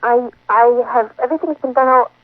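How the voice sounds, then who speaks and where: A woman's voice: fairly high pitch, fairly quick, no echo. A woman calling an advice show by telephone, explaining a personal problem in a conversational way. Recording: narrow, tinny phone line on radio.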